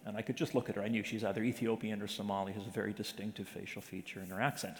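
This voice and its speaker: A man's voice: lowish voice, level tone, racing. A man giving an informal, conversational talk to a church congregation, telling stories with many fillers and restarts. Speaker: missions speaker at a church presentation. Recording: reverberant hall.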